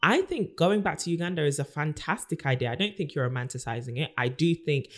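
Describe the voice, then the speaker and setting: A woman's voice: low voice, lively intonation, brisk. A young woman co-hosting a podcast in casual chat, laughing often and giving advice at length. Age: young adult. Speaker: podcast co-host.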